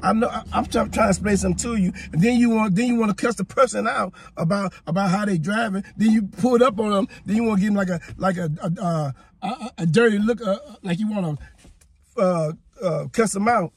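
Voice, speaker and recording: A man's voice: high-pitched, fairly quick, slightly rough, dry recording. An older man, arguing in a car, stammering and repeating words, swearing often. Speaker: passenger uncle. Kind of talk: casual argument. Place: inside a parked car. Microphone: phone on dashboard.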